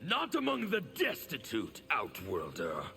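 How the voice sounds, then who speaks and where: An actor playing an angry, bitter revolutionary warrior in a video game, gravelly and declamatory. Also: male voice, medium pitch, wildly swooping pitch, unhurried, bright, forceful tone, soft background music, exaggerated voice. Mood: bitter, defiant. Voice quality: gravelly, intense. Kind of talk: video game character dialogue.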